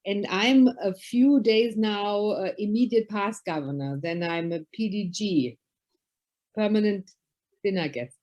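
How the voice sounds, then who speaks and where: A woman's voice: medium pitch, unhurried, darkish tone. A woman from Germany with a German accent, a Lions past district governor, sharing her thoughts on a video call in careful, slightly non-native English.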